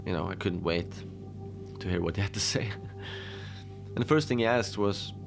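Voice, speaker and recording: A man playing a deadpan lone adventurer who tells his odd tales slowly and conversationally, rambling with halting asides, in a cozy horror audio drama. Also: lowish voice, creaky, over music. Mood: deadpan, musing.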